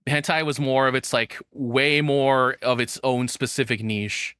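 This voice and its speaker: A man's voice: medium pitch, fairly even intonation, measured pace, fairly bright tone, clear, resonant tone, no echo, clean studio sound. A man in his thirties giving casual, rambling reaction commentary as the host, swearing freely. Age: 30s.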